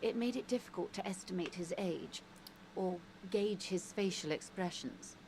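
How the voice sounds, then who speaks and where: A woman voicing a composed middle-aged mediator who speaks calmly and precisely. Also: lowish voice, slight background noise, lightly acted. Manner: calm, precise, measured.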